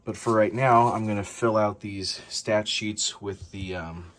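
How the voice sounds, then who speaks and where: A man's voice: lowish voice, fairly even intonation, measured pace, a bit bright. A man talking casually to his vlog audience, explaining things plainly. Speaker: vlogger and sports announcer.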